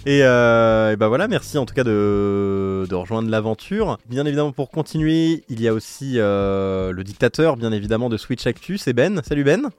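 A man's voice: medium pitch, lively intonation, moderate pace, few pauses, darkish tone, smooth, no echo. A man hosting a podcast casually, with a hesitant, stop-and-start delivery. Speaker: podcast host.

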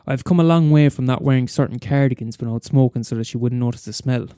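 A man's voice: mid-range voice, fast, dark tone, clean tone, dry recording, studio quality, natural voice. A man playing a bitter, weary middle-aged schoolteacher in a wry, wordy confessional story monologue. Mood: weary, sardonic. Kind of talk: first-person dramatic monologue.

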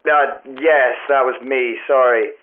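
A man's voice: mid-range voice, steady tone, a little slow. A man playing an evasive, awkward phone-line technician in a naturalistic audio drama, speaking through a telephone filter. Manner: hesitant, evasive, apologetic. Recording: tinny phone line with faint music.

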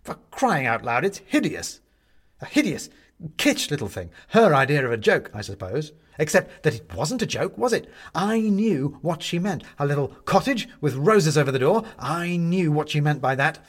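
A man's voice: a little high, big pitch swings, normal speed, voice pushed up. A man voicing a selfish, testy, defensive man in a storybook reading, speaking with peevish emphasis. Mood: furious. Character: selfish, peevish, indignant man, shrunken and dead.

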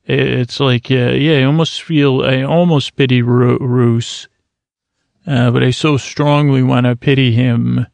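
A man's voice: mid-range voice, fairly even intonation, unhurried, vocal fry, darkish tone, no echo. A man hosting a sleep podcast, rambling and wandering off topic, often stopping and restarting, close to the mic. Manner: meandering, self-interrupting, over-explaining. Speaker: podcast host. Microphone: close studio mic.

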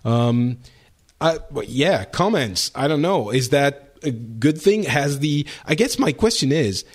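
Chatty man with an animated voice. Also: mid-range voice, measured pace, breathy edge. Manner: rambling, lots of fillers and restarts. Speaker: podcast co-host. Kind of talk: casual gaming chat.

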